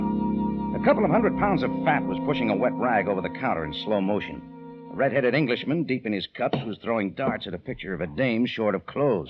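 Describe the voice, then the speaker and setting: An actor plays a tough, wry private eye in vintage radio drama. His clipped narration swings expressively around a medium pitch. The recording is dull and lo-fi. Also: natural voice. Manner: clipped, wisecracking delivery. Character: wry, tough, hard-boiled male detective narrator. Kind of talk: 1940s radio detective acting, scripted narration. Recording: dull, lo-fi old radio with music.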